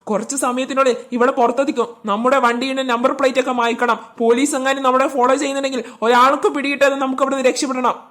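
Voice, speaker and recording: A woman's voice: high-pitched, level tone, very fast, few pauses, fairly bright tone. A woman voicing a threatening male kidnapper boss who barks impatient orders in a cartoon dub. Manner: threatening, commanding. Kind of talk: amateur cartoon dub in Malayalam.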